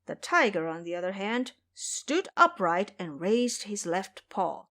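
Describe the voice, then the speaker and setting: A woman's voice: mid-range voice, very animated, a little slow, a bit bright. A woman narrating a scripted fantasy tale as a dramatic storyteller.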